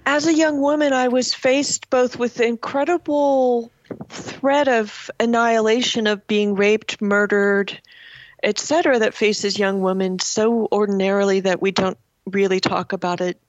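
A woman's voice: a little high, dull audio. A middle-aged woman speaking as a writer in a reflective literary interview, articulate, building long lists of phrases. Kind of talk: reflective literary interview, reading from own memoir.

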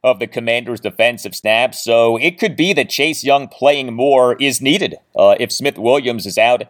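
Man, sports podcast host, measured emphatic announcer delivery, mid-pitched voice, commentary with stats. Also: a bit bright.

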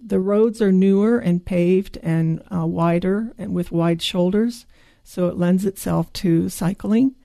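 Gravelly, low-voiced woman from Japan. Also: a little slow, no echo, clean studio sound. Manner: calm, informative explaining. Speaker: guidebook author and tour guide. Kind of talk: radio interview.

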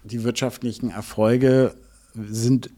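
A middle-aged man with a soft-edged, mellow voice of average pitch speaks as an executive being interviewed. He explains things deliberately and a little formally, often halting to find the next phrase. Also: fairly even intonation. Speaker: interview guest, music label executive.

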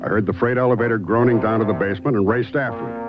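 Actor as a low-voiced, wry private investigator. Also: male voice, fairly even intonation, rapid-fire, natural voice. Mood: matter-of-fact. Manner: wry, matter-of-fact. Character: laconic, wry male private investigator narrating his case. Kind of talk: scripted first-person narration, old-time radio drama. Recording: muffled vintage radio, loud music behind.

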